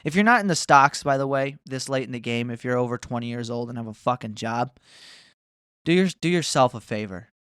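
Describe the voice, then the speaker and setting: A man's voice: mid-range voice, animated, a little fast, fairly bright tone, clean tone, dry recording, clean studio sound. A man in his twenties ranting casually to camera, swearing often and wandering between mocking asides. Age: 20s. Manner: profane, rambling, swears often. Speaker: vlogger.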